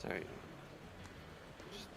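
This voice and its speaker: A man's voice: slow pace, a bit bright, a little hiss or hum. A man co-hosting a livestream, trading casual banter and reading game text aloud. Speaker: co-host of a visual novel readthrough. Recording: music playing behind the voice. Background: game music.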